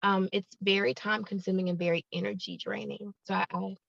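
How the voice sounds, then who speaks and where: Gravelly woman, dry lo-fi sound. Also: mid-range voice, flat delivery, fairly quick, no echo. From Alabama, United States. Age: 30s. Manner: soft-spoken, hesitant with many ums, laughs easily. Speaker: guest, YouTuber. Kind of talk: casual podcast chat.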